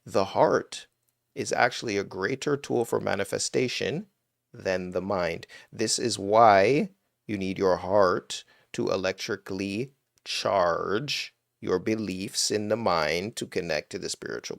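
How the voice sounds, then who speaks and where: A man with a low, gravelly voice talks casually into a computer mic during a live stream. He speaks in a dry, close sound with no room echo. His answers to viewers are emphatic and conversational. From Toronto, Canada.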